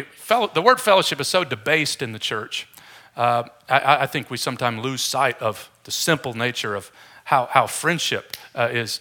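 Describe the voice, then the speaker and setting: A man's voice: mid-range voice, sharp tone. A man preaching a conversational sermon, informal and joking with his congregation.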